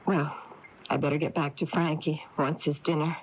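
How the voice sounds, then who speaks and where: Actress as worried townswoman, lowish voice, hesitant, confiding, radio drama, dull noisy AM recording. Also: level tone, moderate pace, close to an everyday voice. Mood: apologetic, worried. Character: worried, well-meaning small-town woman parishioner. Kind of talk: 1980s radio drama acting.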